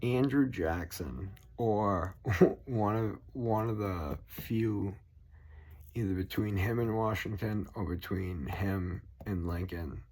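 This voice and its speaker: A man's voice: on the low side, slow, a little mellow, slightly rough. A man with a Boston accent ranting at length, swearing constantly and rambling. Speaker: political vlogger. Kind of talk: profane rambling rant to camera.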